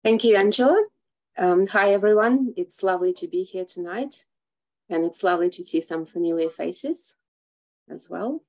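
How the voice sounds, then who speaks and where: A woman's voice: lowish voice, normal speed, darkish tone, low-quality recording. A woman, introducing and reading poems on a video call. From Russia. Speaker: poet at an open mic. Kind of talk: poetry reading with a short spoken introduction. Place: small indoor room.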